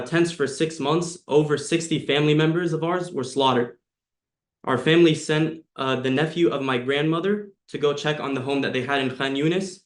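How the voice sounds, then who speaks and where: Young adult male, American accent, sincere prepared endorsement, roomy echoing sound. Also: fairly high pitch. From United States. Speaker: supporter giving an endorsement. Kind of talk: prepared speech, earnest testimonial.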